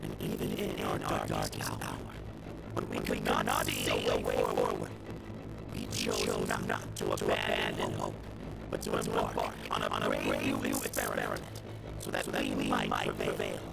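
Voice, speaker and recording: An actor playing an earnest, resolute young temple duelist in a dramatic video game, with a slightly halting delivery. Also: male voice, a bass voice, monotone, creaky, full character voice, rough recording. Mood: solemn, resolute. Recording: game audio with loud music behind.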